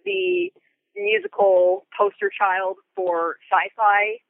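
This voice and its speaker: Enthusiastic woman being interviewed, crackly fry in her voice, chatty and articulate, thin narrow call-like audio. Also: mid-range voice, big pitch swings, takes it slowly. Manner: enthusiastic, articulate, thinks aloud. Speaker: theatre company member and Foley artist guest. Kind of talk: conversational interview answers.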